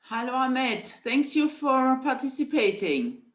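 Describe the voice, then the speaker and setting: A woman's voice: high-pitched, very animated, takes it slowly, reverberant. A woman hosting a webinar, moderating participants with brief encouraging affirmations. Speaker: webinar host and facilitator. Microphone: video call.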